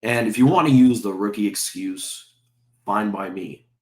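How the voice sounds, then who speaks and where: Brief: deep-voiced male sports-vlog host, sore throat, heated and profane, roomy echo. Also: unhurried, a little mellow. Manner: heated, profane, repetitive rhetorical questions. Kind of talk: ranting fan commentary to camera.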